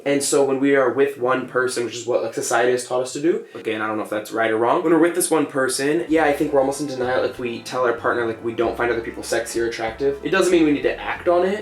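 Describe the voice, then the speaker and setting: A man in his late twenties, chatting casually and expressively as a vlog co-host over a glass of wine. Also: mid-range voice. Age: 20s. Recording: roomy sound with faint music.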